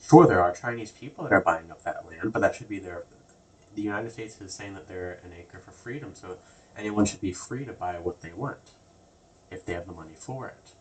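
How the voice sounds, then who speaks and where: A man with a fairly low voice hosts a casual commentary vlog. He rambles in loose, everyday phrasing and keeps restarting his words. He sits a little back from the microphone. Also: fairly quick, distant mic, a little hiss or hum, poor audio. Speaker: video host.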